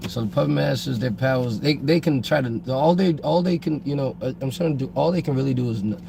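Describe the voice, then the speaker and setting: A hoarse man getting over a cold, co-hosting a casual podcast and talking in a halting way with frequent restarts. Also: mid-range voice. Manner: halting, many restarts and repeated words. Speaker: podcast co-host. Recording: noisy background.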